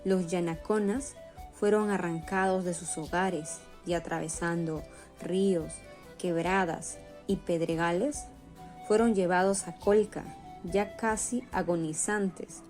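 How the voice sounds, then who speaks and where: A woman's voice: lowish voice, steady tone, takes it slowly, darkish tone. A woman reading scripted narration for a radio novel. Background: faint music.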